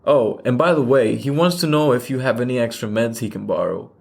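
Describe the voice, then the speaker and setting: A man acting a gossipy housemate, vocal fry, easygoing, casual, matter-of-fact, naturalistic radio play. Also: medium pitch, fairly quick, darkish tone.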